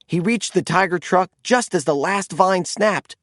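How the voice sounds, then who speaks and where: A man narrating a children's storybook in an expressive, animated read-aloud style. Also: sits high, measured pace, dry recording, studio quality.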